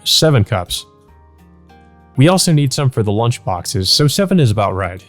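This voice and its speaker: A man's voice: mid-range voice, sing-song, normal speed, faint music behind, close to an everyday voice. A man voicing an earnest, easygoing, domestic teenage schoolboy from a visual novel, plain and mildly wry.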